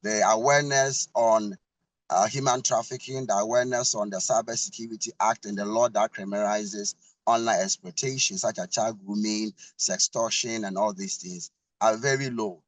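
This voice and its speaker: A man speaks earnestly on a video call. His voice is low and dry, with no room sound. He pads his points with fillers and repeats. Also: fairly even intonation, normal speed, no room sound, poor audio. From Ghana. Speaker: panel speaker. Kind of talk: prepared talk.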